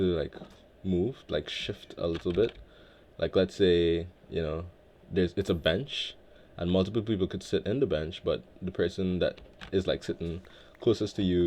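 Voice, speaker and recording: A man from Guyana hosting a relaxed game let's play, talking in a laid-back, reflective way with many filler words. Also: sits low, slight background noise. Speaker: Minecraft let's play host. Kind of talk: relaxed gameplay commentary.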